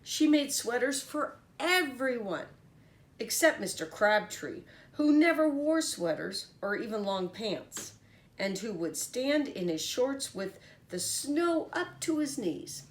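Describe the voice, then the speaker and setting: A woman's voice: a little high, very animated, slow. A woman reading a picture book aloud to children as a teacher, expressively and with little comments to her listeners. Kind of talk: animated picture-book storytelling.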